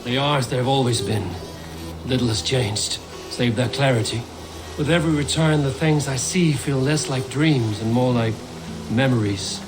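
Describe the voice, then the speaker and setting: A man's voice: medium pitch, normal speed, over music, close to an everyday voice, rough recording. A man playing a stoic, loyal Viking clan leader in a video game, speaking plainly and with restraint. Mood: reserved, earnest. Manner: restrained, plain-spoken, earnest. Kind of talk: dramatic video game acting.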